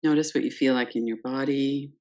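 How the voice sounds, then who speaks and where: A woman teaching guided meditation, speaking calmly and gently in short phrases with pauses between them. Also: extremely low pitch, lo-fi sound. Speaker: yoga nidra meditation teacher. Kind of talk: guided meditation, unscripted instruction.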